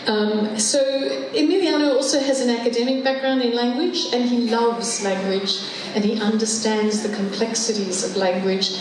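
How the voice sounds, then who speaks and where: A woman giving an enthusiastic introduction speech for a new chief executive, with frequent fillers. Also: medium pitch. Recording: echoey room, background noise.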